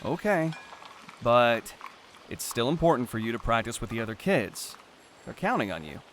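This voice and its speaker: A man's voice: medium pitch, big pitch swings, normal speed, a bit bright, a little hiss or hum. A man playing a concerned, pushy middle-aged father in an audio drama, earnest and coaxing. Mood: disappointed, nagging.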